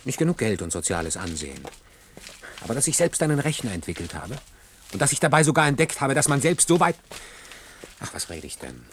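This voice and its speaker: An actor playing an earnest, obsessive and frustrated junior programmer in a radio play, speaking in an intense conversational way. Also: male voice, medium pitch, sing-song, a little fast, pauses often, a little hiss or hum, close to an everyday voice. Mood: bitter. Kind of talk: German science-fiction radio play acting.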